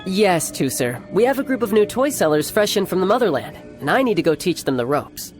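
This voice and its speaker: A man playing a cocky young warrior commander and doting big brother in a video game, with a theatrical, smooth-talking, authoritative manner. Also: high voice, sing-song, a little fast. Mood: casual, placating. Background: background music.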